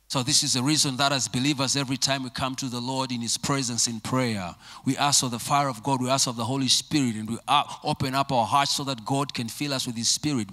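A man in his forties preaching a sermon in a church on a handheld microphone, emphatic and repetitive, dropping to low quiet phrases. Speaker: pastor. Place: church sanctuary with congregation.

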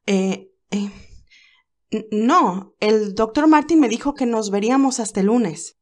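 A woman voicing a young nurse heroine, nervous and stammering, a little higher than her narration. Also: a little slow, a little mellow, close to an everyday voice.

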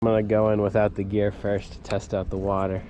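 A man's voice: fairly low pitch, monotone, a little fast, highs cut off. A young man chatting casually to his camera while camping outdoors, thinking aloud as he goes. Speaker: vlogger. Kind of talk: casual travel vlog narration. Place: outdoors at a campground and lake. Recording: noisy outdoor camera audio. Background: loud outdoor ambience with rain and water.

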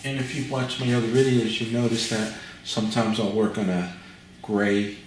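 An older man talks through a painting lesson at his easel, pausing to think aloud with fillers and restarts. His voice sits fairly low. It is picked up from a distance in a very echoey room, with clear noise behind it. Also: little pitch movement. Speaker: painting instructor. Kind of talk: relaxed painting demonstration. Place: art studio at an easel, indoors. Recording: very echoey room, mic far off, audible noise.

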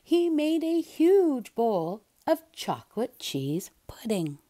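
A woman reads a children's story aloud with lots of expression. Her voice is fairly high and very lively, and she takes her time in a deliberate storytelling way. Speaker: storybook reader.

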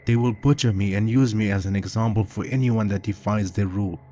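A man's voice: steady tone, a little fast, mellow, a little hiss or hum, natural voice. A man putting on a panicked young shapeshifter. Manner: pleading, panicked and high-strung. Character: terrified young male shapeshifter on the run.

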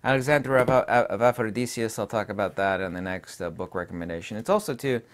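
A middle-aged man hosting a casual book-recommendation talk from his home studio desk, emphatic and opinionated, his voice a little deep from allergies, on a lapel mic. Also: fast. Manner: emphatic and opinionated, trails off with fillers. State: voice deepened by allergies. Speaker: book reviewer and channel host.

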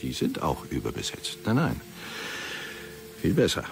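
Deep, gravelly, smug headmaster. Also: male voice, huge pitch swings, slight background noise, exaggerated voice. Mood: smug, probing. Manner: chuckling, hesitant, teasing, with meaningful pauses. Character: jovial, smug, needling middle-aged male school headmaster. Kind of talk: radio play acting.

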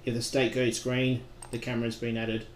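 Man, software trainer, step-by-step tutorial narration, little pitch variation, roomy sound, faint background noise. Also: completely flat delivery, moderate pace. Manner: plain step-by-step instruction. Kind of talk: instructional screen-recording narration.

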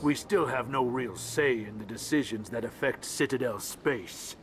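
A man's voice: mid-range voice, normal speed, slight background noise, close to an everyday voice. A man voicing a grumpy little alien ambassador. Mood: resentful. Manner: curt, resentful, condescending. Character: grumpy small alien male ambassador, wheezing. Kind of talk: video game voice acting. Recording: game audio with background music.

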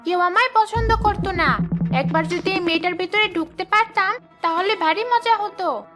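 A woman's voice: very high-pitched, normal speed, loud music behind the voice. A woman voicing a wicked, bone-hungry female ghost in a children's cartoon, gloating and menacing. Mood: scheming, craving.

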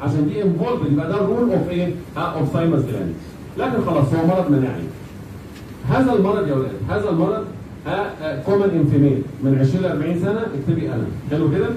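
A man's voice: a little high, expressive, racing. A man with an Egyptian accent lecturing informally to a class, mixing in English medical terms, repeating phrases and checking that students follow. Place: indoor classroom at a whiteboard with students. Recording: very echoey, noisy classroom, distant microphone.

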